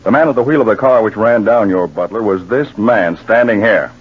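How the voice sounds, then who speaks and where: An actor playing a firm, methodical counterespionage agent, grave and deliberate, in 1940s radio drama. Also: male voice, fairly low pitch, slightly rough. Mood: coolly in command. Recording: dull 1940s radio recording.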